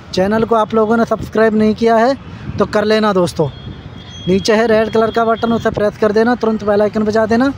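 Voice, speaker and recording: A man's voice: high-pitched, a little hiss or hum, rough recording. A man casually vlogging outdoors beside a busy road, pointing things out and talking straight to his viewers on a handheld phone. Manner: repetitive, addresses viewers as friends, points things out. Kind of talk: casual walking construction-site vlog.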